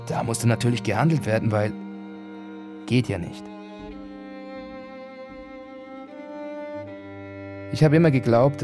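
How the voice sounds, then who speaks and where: A male actor plays a reflective brother in a radio-play monologue. He speaks in a medium-pitched voice, colloquial and musing, searching for his words in plain language. Guitar-tinged music sits clearly behind him. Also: lightly acted. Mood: rueful, musing. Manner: colloquial, musing, halting reminiscence. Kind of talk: radio drama monologue, conversational first-person recollection. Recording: music under the voice.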